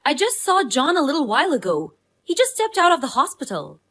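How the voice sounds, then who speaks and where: A woman's voice: sits high, huge pitch swings, fairly quick, a bit bright, heavily acted. A woman playing a blunt, pushy female friend in a scripted story drama. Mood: insistent, puzzled. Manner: blunt, accusing. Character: Allison, pushy, indignant, spoiled but loyal woman friend.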